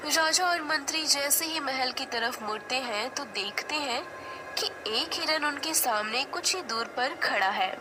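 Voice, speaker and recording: A woman's voice: high-pitched, a little slow, music in the background. A woman narrating a scripted story as the storyteller.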